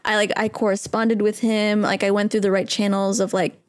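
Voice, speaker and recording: A woman co-hosting a podcast talks through her story in an easygoing, rambling way. She leans on fillers and pauses as she finds her words. Her pitch sits in the middle range. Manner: relaxed, filler-heavy, uses a lot of "like". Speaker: podcast co-host. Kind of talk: casual chat, personal story.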